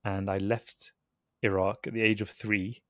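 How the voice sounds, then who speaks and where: Man, fairly deep voice, casual explanatory guest talk, dry recording. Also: level tone, unhurried, clean tone, no echo. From London, England; born in Baghdad. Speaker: podcast guest, author.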